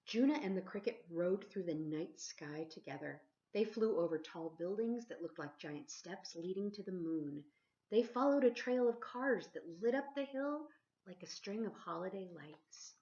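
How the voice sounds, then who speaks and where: A woman reading a children's picture book aloud expressively, like a teacher, pausing to ask her listeners questions. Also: on the low side, lively intonation, warm tone. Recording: dull lo-fi sound.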